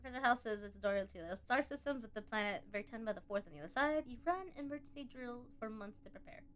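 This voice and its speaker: A woman streaming a game live, chatty and expressive, reading the on-screen story aloud and tossing in playful asides. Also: a little high, fast. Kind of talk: live let's-play commentary, reading game text aloud.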